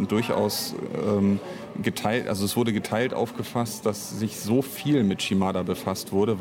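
A man speaking unscripted as an interview guest, an audio drama author and director who reflects hesitantly and searches for words. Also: lowish voice.

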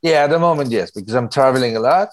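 Animated middle-aged man, tinged English. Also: medium pitch, fast talker. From born in Belgium, raised in Spain. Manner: animated, laughing, repeats words. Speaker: music producer and DJ guest. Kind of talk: casual interview chat.

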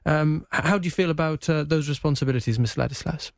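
A man's voice: a little high, quick pace, creaky, no room sound, clean studio sound. A man hosting a live current affairs interview, feeling his way through questions with frequent rephrasing. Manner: hesitant, rephrasing questions as he goes. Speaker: radio show host and interviewer.

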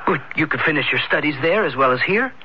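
An actor playing a practical, gently concerned friend, reasoning in a measured American radio drama style. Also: male voice, on the high side, lively intonation, noisy background, lightly acted. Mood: reasonable, encouraging. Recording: muffled vintage radio drama recording.

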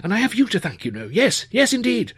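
A man voicing an excitable upper-class student in an audiobook, effusive and old-fashioned in his posh phrasing. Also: high voice, fairly quick, slightly rough, dry recording, voice pushed up, exaggerated voice. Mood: delighted, effusive. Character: gushing, excitable upper-class young male student amateur performer. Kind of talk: audiobook character voice.

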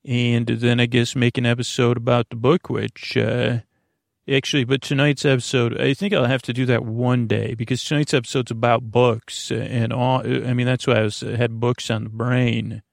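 A man hosting a bedtime podcast in a rambling monologue, halting and interrupting himself, with sentences that wander and trail off, in a gravelly voice. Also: mid-range voice, flat delivery, dry recording, clean studio sound. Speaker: podcast host.

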